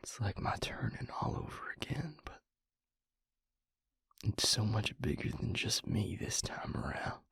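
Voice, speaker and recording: A man playing a grieving, guilt-ridden vampire confiding in his mate in an intimate audio roleplay, halting and hushed, with a breathy, husky voice. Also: on the low side, big pitch swings, no room sound, exaggerated voice. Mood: subdued, sorrowful. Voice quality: breathy, husky, gravelly near-whisper.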